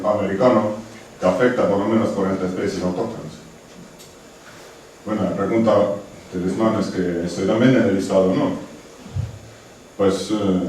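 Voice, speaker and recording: Ponente estonio con acento marcado, titubeante y cercano; sala resonante, micrófono lejano con leve ruido. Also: male voice, on the low side, a little mellow. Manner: hesitant, restarts words, friendly asides. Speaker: conservation researcher giving a talk. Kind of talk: conference presentation. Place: lecture hall. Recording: very echoey hall from far off, faint noise.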